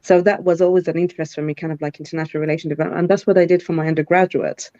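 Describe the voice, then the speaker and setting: A woman's voice: deep voice, fairly even intonation, very fast, warm tone, no room sound. Middle-aged woman, CEO guest on a live video call, thoughtful and full of ums, dull dry audio. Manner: thoughtful, many fillers and restarts. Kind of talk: live online interview.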